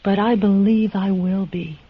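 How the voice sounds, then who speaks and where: Guarded, determined servant, dull recording. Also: female voice, medium pitch, steady tone, unhurried, slightly rough. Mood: quietly challenging. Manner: formal, restrained, firm. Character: determined, guarded underperson woman, servile outwardly, boldly defiant underneath. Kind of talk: radio drama acting. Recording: dull radio recording, faint music.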